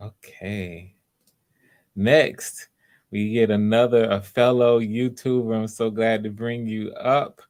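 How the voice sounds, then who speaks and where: A man's voice: on the low side, steady tone, slow pace, darkish tone. A man hosting live auditions in a casual, encouraging and reassuring way. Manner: supportive, reassuring. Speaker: host running live auditions.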